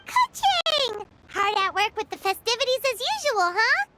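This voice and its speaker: A tiny, greedy and excitable companion creature in a video game. The voice is extremely high and childlike, leaping up and down with cheeky exclamations. Music plays clearly behind it. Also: female voice, moderate pace. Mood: bubbly, excited. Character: small childlike female floating companion, excitable, greedy, food-loving.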